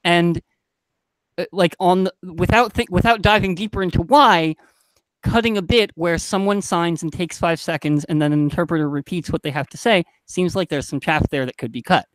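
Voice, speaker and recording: High-pitched man on a panel. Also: no room sound, low-quality recording. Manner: halting, self-correcting, thinking aloud. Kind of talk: casual panel discussion.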